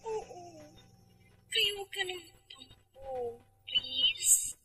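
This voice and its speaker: A woman's voice: very high-pitched, lively intonation, very slow, sharp tone, faint music behind, exaggerated voice. A woman playing a lovesick, dutiful sweetheart in a radio melodrama, plaintive and pleading. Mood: pleading, yielding. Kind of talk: Tagalog radio melodrama acting.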